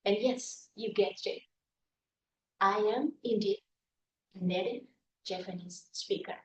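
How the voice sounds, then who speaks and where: A woman's voice: mid-range voice, takes it slowly, recorded from a distance, slight background noise. A middle-aged woman from Indonesia giving a prepared humorous speech at a speaking club, telling stories about herself. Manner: storytelling with self-deprecating humor. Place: meeting room. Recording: echoey room, voice from afar.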